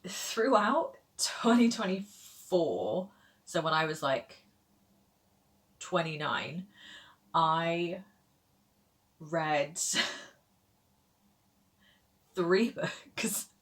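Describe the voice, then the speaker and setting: A woman's voice: fairly low pitch, animated, drawn-out words, long pauses. A woman, chatting casually to camera as a book vlogger, rambling with lots of fillers and little laughs. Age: 30s.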